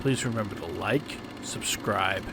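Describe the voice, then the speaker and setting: A male host giving a casual walkthrough commentary on a video game, explaining each step in a relaxed, drawn-out way. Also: on the low side, vocal fry, noisy background.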